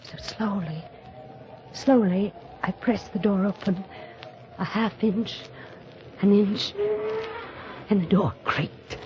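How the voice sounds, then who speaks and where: A woman's voice: medium pitch, close to an everyday voice. Actress as anxious young woman in muffled radio mystery. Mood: hushed dread. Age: young adult. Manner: suspenseful, deliberate, dramatic. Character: anxious young woman hiding a murder, narrating her ordeal. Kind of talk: 1950s radio mystery acting, dramatic narration. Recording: muffled old radio broadcast, music behind.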